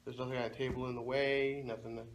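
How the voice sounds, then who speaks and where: A man co-hosting a web show, chatting casually and explaining things in a halting, informal way. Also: mid-range voice, flat delivery. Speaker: co-host of a comics web show. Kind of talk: casual unscripted review chat. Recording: noisy amateur video recording.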